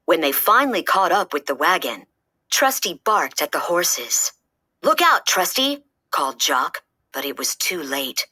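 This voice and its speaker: A woman reads a children's story aloud in a lowish, bright voice. Her delivery is lively and expressive, moving freely up and down in pitch, and the recording is close and dry. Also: normal speed, breathy edge, dry recording.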